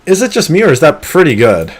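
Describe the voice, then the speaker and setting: A man streaming a game live, talking through it in an excitable, competitive way, with sudden gasps and pleading. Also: a little high, quick pace, breathy edge. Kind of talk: live gameplay commentary.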